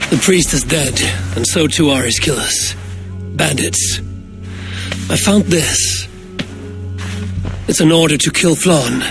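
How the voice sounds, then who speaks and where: Terse, higher-voiced Norse warrior. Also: male voice, takes it slowly, gravelly, pauses often. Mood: grave, resolute. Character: gruff, wry, plain-spoken Norse warrior, adult male. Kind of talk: cinematic video game acting. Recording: music behind the voice.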